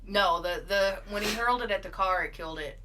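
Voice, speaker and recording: Female improv player as a spoof eyewitness, bright forward voice, casual and stubbornly matter-of-fact. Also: mid-range voice, brisk. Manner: insistent, matter-of-fact about absurd claims. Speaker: mock eyewitness being interviewed. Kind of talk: improvised comedy interview, casual storytelling.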